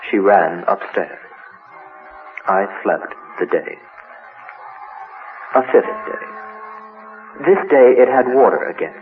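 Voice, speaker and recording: A man's voice: lowish voice, lively intonation, slow, pauses often. A man voicing a chained, hurt monster child in a horror monologue, telling its story in simple, broken childlike sentences. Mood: fearful, secretive. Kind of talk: first-person dramatic horror monologue. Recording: noisy, tinny narrow-band radio-like sound.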